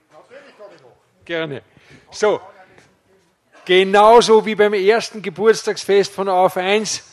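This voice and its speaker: A man's voice: high-pitched, a bit bright. A man moderating an event on stage, announcing guests and putting short questions to them.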